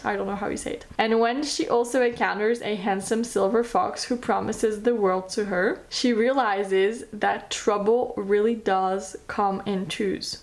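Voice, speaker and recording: Woman, French accent, native French speaker, chatty book vlogger, enthusiastic, middling pitch. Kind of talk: casual book recommendations, reading blurbs aloud.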